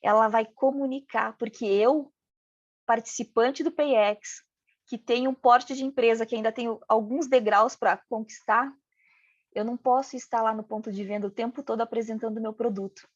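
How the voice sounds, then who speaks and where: A woman's voice: on the high side, fairly even intonation, normal speed, no room sound, dull audio. A woman with a regional Brazilian accent giving a training lecture, speaking as if she were a business owner and often adding "né?". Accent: regional Brazilian Portuguese. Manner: talks in first person as a business owner, many "né" tags. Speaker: packaging design instructor.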